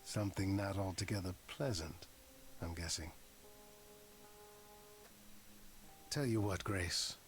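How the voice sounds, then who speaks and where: A man's voice: low voice, normal speed, slight background noise. An actor voicing a mischievous male trickster god in a video game, teasing and theatrical with eloquent phrasing. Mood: sly.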